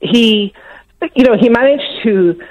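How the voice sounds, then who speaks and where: A woman's voice: on the high side, lively intonation, slow, darkish tone. A middle-aged woman with a Nigerian accent calling a radio phone-in, emotional and upset, with many restarts and trailing sentences. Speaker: caller. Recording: muffled phone line.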